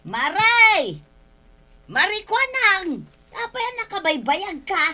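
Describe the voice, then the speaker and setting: A woman's voice: very high-pitched, big pitch swings, slow pace, pushed and bright, full character voice. A woman playing a pushy, whiny village woman in an Ilocano comedy drama, insistent and theatrical, with an Ilocano accent. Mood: impatient. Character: pushy, whiny village woman demanding her online order. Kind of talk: Ilocano comedy radio-style drama acting.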